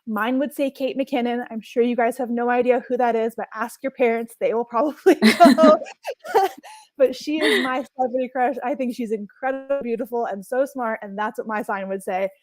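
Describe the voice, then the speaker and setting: A woman's voice: fairly high pitch, normal speed, darkish tone. A young adult woman raised in Hawaii, a marine scientist giving a chatty, enthusiastic online workshop talk and laughing often. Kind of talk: casual online workshop presentation.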